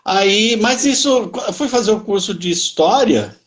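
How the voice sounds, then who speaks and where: Guest: São man, history professor, fairly high voice, modest, self-correcting. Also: expressive, unhurried. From Bauru, São Paulo state, Brazil. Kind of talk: conversational interview answers.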